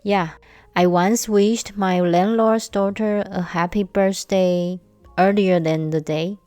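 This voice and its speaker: A young woman from Taiwan, hosting a casual solo podcast and telling stories slowly with light humor. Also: medium pitch, steady tone, warm tone, clean tone, dry recording, faint music behind. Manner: slow, measured storytelling with light humor. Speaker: podcast host.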